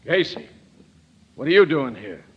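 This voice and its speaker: An actor with an American accent playing a brusque, hard-nosed police sergeant in a 1940s radio drama. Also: male voice, mid-range voice, sing-song, unhurried, background noise. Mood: stern. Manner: brusque, commanding, accusing. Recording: muffled old-time radio recording.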